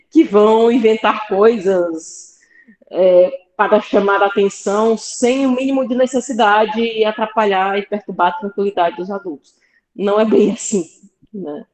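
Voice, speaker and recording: A woman's voice: mid-range voice, a little slow, a little mellow, echoey room, rough recording. A woman from Fortaleza with a Brazilian Portuguese accent, talking casually as a podcast guest in a thoughtful, hesitant way, speaking from home with headphones. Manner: thoughtful, hesitant, with light laughs and asides. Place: home room with plants behind. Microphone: headphones on a video call.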